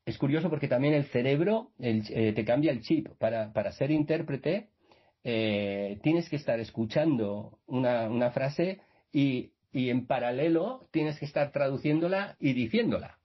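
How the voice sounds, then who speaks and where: A man's voice: medium pitch, normal speed, breathy edge. A man, a radio guest telling his story in a rambling, casual way with many restarts. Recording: muffled video-call audio.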